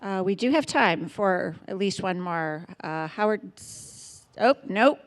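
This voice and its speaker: A woman's voice: medium pitch, expressive, a little slow. A woman moderating a live panel, informally directing whose turn it is to speak. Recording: reverberant hall sound.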